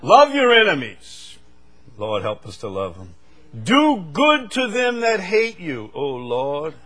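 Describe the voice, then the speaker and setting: An older man from the United States preaching a sermon, swinging from loud, fervent stretches to quiet asides. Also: on the high side, huge pitch swings, slow, a crowd in the background.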